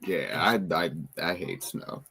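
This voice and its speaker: A man's voice: low voice, expressive, takes it slowly, gravelly, noisy background. A man from North Carolina, a guest on a livestream, chatting in a friendly way. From Raleigh, North Carolina. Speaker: stream guest. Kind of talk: casual chat.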